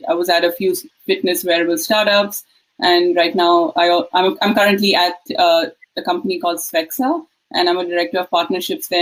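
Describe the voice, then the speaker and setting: Panelist, woman from India, former swimmer, slightly low voice, friendly, hesitant. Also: low-quality recording. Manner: friendly with many fillers. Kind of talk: panel self-introduction.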